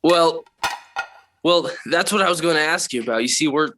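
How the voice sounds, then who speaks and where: An actor playing an indignant, pleading fifteen-year-old son in a 1940s radio sitcom. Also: male voice, fairly high pitch, normal speed, bright, forceful tone, a little hiss or hum. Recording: vintage 1940s radio broadcast.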